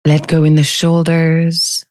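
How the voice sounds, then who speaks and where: Female meditation teacher, low mellow voice, very measured delivery, close dry sound. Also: completely flat delivery, no echo. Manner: gentle, unhurried, with long pauses. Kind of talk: guided meditation.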